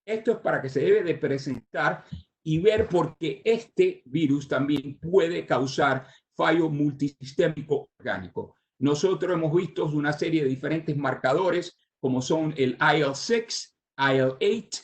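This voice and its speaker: An older man with a Cuban Spanish accent carried by English habits delivers a medical lecture in a voice pitched a little high. He stops often on "ah" fillers and restarts his phrases. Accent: Cuban Spanish with American English influence. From Cuba, raised in the United States. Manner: halting, with many "ah" fillers and restarts. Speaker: surgeon giving a conference talk.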